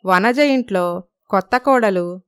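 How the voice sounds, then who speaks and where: Smooth-voiced woman narrating a story. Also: mid-range voice, takes it slowly, no echo, studio quality. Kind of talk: scripted moral story narration.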